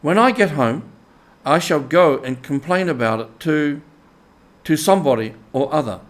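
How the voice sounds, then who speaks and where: A man voicing a grumbling, stubborn mole in a storybook reading, pettish, deliberate and sarcastic. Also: fairly high pitch, animated, voice pushed up, natural voice. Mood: unimpressed, huffy. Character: grumbling, stubborn, literal-minded male mole. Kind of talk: animal character in storybook reading.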